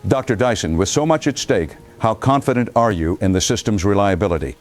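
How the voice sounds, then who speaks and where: A man's voice: fairly low pitch, lively intonation, a little fast, no room sound, close to an everyday voice. A man playing a composed news anchor in an audio drama, putting probing questions in a formal broadcast manner. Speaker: news anchor interviewing a guest.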